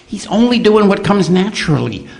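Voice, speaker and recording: A man's voice: sits high, sing-song, moderate pace, vocal fry, darkish tone, exaggerated voice. An actor playing a fussy, meek, ailing little neighbor man with a hesitant, stammering delivery, in old-time radio comedy style. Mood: earnest advising. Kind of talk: 1940s radio comedy acting.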